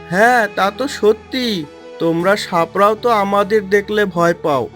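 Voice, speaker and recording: Young cartoon cowherd: earnest, kindly, exclamatory village lad, light music behind. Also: male voice, high-pitched, slow pace, fairly bright tone. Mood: friendly. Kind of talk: dramatized cartoon story dialogue.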